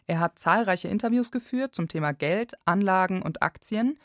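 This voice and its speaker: A woman's voice: medium pitch, expressive, moderate pace, dry recording, clean studio sound. A woman reporter reading scripted narration for a radio feature.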